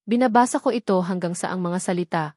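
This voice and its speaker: A woman with a Filipino accent reading a scripted first-person dramatic story in an earnest, measured storytelling manner. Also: on the low side, a little mellow, no room sound, studio quality. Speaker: story narrator.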